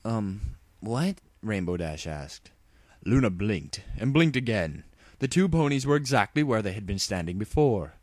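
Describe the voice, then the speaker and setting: A man's voice: lowish voice, animated, moderate pace, darkish tone, no room sound. A man narrating a story as a tongue-in-cheek dramatic reading.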